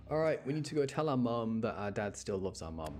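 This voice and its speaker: A man giving casual live game commentary as a streamer, chatty and playful, reacting out loud. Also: mid-range voice, a little hiss or hum. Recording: faint game music behind. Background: video game music.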